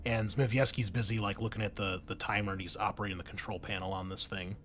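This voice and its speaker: A man narrating a tabletop roleplaying game as game master, describing scenes haltingly and correcting himself as he goes. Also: fairly low pitch, fairly even intonation, a bit bright. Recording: clear background noise.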